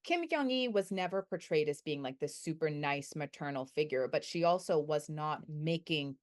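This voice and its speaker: Lowish-voiced woman, measured academic answers. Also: lively intonation. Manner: thoughtful, measured, self-correcting. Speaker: guest researcher.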